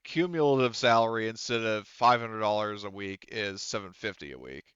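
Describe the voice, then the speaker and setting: A man acting as game master in casual, improvised talk, halting as he thinks aloud. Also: fairly low pitch, fairly bright tone, smooth, no room sound, lo-fi sound. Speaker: game master of a tabletop roleplaying game.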